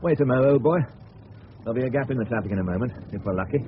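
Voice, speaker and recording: A man's voice: medium pitch, racing, close to an everyday voice. An actor playing a fussy, chatty middle-aged father in a 1970s radio drama, with a southern English accent and a clipped, grumbling manner. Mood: genial, chatty. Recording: narrow-band old radio recording, clear hiss.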